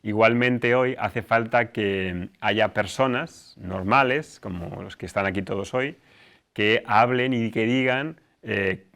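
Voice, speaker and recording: A man hosting and introducing a student discussion, explaining things informally and somewhat haltingly. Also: fairly low pitch, creaky, a bit bright. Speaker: host, teacher.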